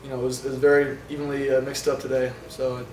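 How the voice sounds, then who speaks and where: Young man answering, halting and flat. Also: medium pitch. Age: young adult. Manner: halting, many fillers and restarts. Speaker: college quarterback. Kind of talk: postgame press conference answers. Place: indoor press room in front of a sponsor backdrop. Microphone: table microphones from a short distance. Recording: roomy, distant sound with faint noise.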